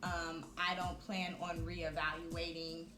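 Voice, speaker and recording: A woman's voice: lowish voice, flat delivery, slow. Reflective woman in an echoey room. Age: 30s. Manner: reflective, chatty with frequent fillers. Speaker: vlogger. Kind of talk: casual talk to camera. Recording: echoey room with music behind.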